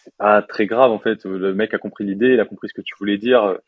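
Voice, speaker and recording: A young man in his twenties from France, a software developer chatting casually in an interview with lots of fillers and slang. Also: lowish voice, fairly even intonation, fast talker, dry recording, narrow, tinny audio. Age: 20s.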